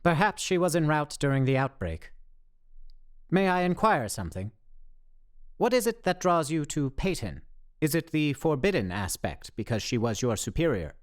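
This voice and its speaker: A woman's voice: low voice, very animated, moderate pace. A woman playing a pedantic, self-important zombie theorist in a radio play, with formal, wordy diction. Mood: awkwardly formal.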